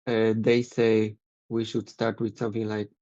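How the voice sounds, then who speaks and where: A man hosting a casual podcast chat over a video call as a language teacher, unhurried and laughing often. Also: on the low side, monotone, darkish tone, no echo, highs cut off. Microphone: video call microphone.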